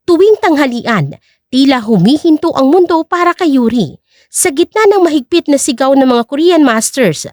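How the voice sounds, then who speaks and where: Woman for dramatic story narration; high, animated, recorded close and dry. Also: dry recording.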